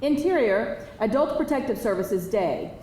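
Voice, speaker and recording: A woman's voice: fairly high pitch, animated, moderate pace, a little mellow. A woman narrator reading screenplay stage directions aloud at a live table read. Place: indoor live table read before an audience. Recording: distant mic, echoey room, faint noise.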